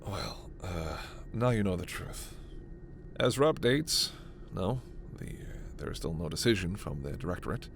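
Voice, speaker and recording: A man playing a gentle, earnest alien scientist in a sci-fi ASMR roleplay, speaking in a measured, hesitant, soft way. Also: low voice, expressive, creaky, noisy background. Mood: apologetic, reassuring. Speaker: voice actor.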